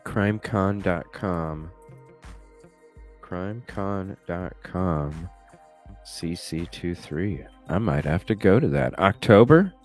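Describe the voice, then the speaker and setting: Stuffy-nosed low-voiced male streamer. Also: expressive, takes it slowly, soft background music. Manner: chatty, thinks aloud, swears casually. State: stuffy nose. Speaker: live streamer. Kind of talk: casual chat reacting to a video. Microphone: desk microphone with webcam.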